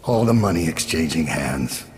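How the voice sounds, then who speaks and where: An actor playing a weary, brooding middle-aged assassin full of regret in a video game, grim and deliberate, with a deep gravelly rasp. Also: male voice, lively intonation, slight background noise. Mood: bitter, weary. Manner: slow, grim, deliberate delivery. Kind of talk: dramatic video game monologue.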